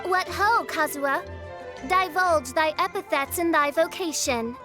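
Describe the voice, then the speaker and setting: Self-styled princess girl, very high, forceful, flowery, theatrical, faint music behind. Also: measured pace. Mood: grandly proclaiming. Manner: pompous, archaic, flowery speech. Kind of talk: anime video game voice acting.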